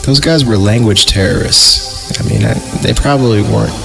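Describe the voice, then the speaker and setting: A man's voice: lowish voice, normal speed, gravelly, fairly bright tone. A man hosting a horror podcast, reading stories aloud and breaking off for sarcastic, improvised jokes, with music behind him. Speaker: podcast host and reader. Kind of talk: creepypasta reading with joking asides.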